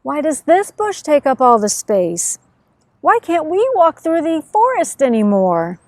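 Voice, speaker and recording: A woman's voice: sits high, sing-song, measured pace, dry recording, pitched above the performer's own voice. A woman voicing plaintive, indignant plants and animals complaining in a children's story.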